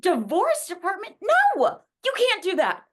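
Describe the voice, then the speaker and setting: A woman acts out a combative young female office worker in a dramatic script reading. The voice is high and very animated. She argues with sharp sarcasm and heavy emphasis. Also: unhurried, exaggerated voice. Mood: hurt, furious. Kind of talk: dramatic table-read acting.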